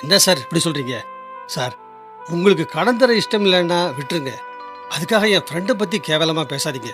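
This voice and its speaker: Man acting a high-voiced earnest young working man, music behind. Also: expressive, fairly quick, natural voice. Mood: earnest, hurt. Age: young adult. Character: loyal, earnest young working man, later bitterly let down. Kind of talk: Tamil radio drama acting.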